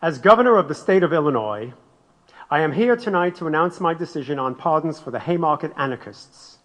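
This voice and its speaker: An actor playing a solemn state governor giving a formal, declamatory speech in a radio drama. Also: male voice, a little high, expressive, fairly quick, a bit bright. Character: solemn 19th-century male state governor making speech. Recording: dull recording in reverberant hall.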